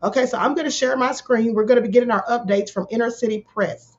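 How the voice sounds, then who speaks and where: Hoarse woman, livestream host, casual chat, dull lo-fi sound. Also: a little high, fast talker. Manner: greets chat viewers by name. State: hoarse, sore throat.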